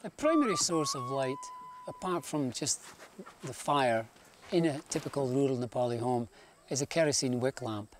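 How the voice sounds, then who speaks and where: A man's voice: mid-range voice, expressive, moderate pace, faint music behind. Man explaining plainly in an interview. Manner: plain, explanatory, a few restarts. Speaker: electrical engineer.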